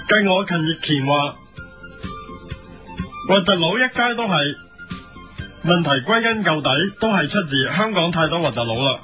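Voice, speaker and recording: Man playing a bright mock-serious news commentator. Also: fairly high pitch. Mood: indignant. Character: solemn male satirical TV news commentator delivering absurd editorials. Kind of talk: parody news editorial in an audio comedy show. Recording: muffled lo-fi audio with music behind.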